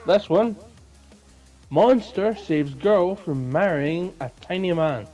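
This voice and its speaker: A man's voice: sits high, takes it slowly, dark tone, lo-fi sound, soft background music. A young adult man chatting casually as a podcast co-host. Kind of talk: casual chat.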